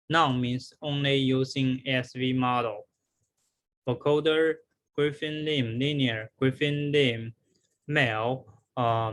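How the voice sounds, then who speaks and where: Slow-paced man presenting research. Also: medium pitch, a bit bright. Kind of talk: scripted academic presentation.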